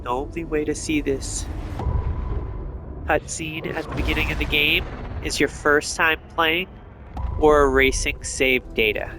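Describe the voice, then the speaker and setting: A man's voice: a little high, fairly even intonation, unhurried, over music. A man giving casual, rambling, explanatory commentary as he plays through a video game. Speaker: gamer narrating a playthrough.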